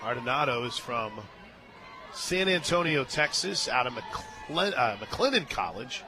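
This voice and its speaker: A man doing live radio play-by-play of a softball game, mixing commentary with casual banter from a booth at the ballpark. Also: mid-range voice, a little slow, a crowd in the background, background noise. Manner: bursts into excited shouts on big plays. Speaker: play-by-play sports radio announcer.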